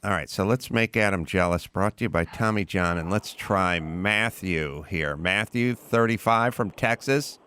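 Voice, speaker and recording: A man's voice: deep voice, measured pace, few pauses, sharp tone, clean tone. A man hosting a podcast in loose, wry casual chat, swearing offhand and introducing callers. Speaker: podcast host.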